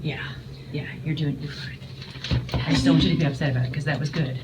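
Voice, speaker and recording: A woman's voice: low-pitched, steady tone, quick pace. A woman reassuring a student after a performance, speaking in an encouraging way. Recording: loud noise, roomy and far from the mic.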